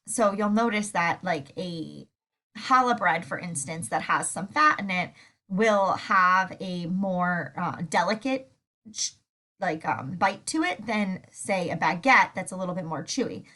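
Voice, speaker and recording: A woman teaching a recorded food science lecture while stuffed up with a sore throat, relaxed and full of fillers. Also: on the low side, slow. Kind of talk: recorded lecture over slides.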